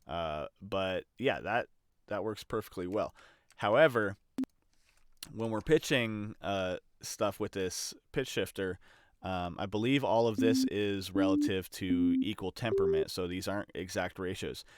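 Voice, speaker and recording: A deep-voiced man presents a casual tutorial in a smooth, clear tone. He thinks aloud, hesitating as he explains each step. The sound is very dry and close. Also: a little slow, dry recording. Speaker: audio production tutorial presenter. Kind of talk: casual explanatory screen demonstration.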